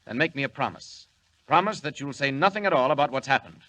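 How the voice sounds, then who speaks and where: An actor playing a calm, commanding alien hero in a 1940s radio drama, measured and reassuring. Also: male voice, medium pitch, lightly acted, poor audio. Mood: earnest.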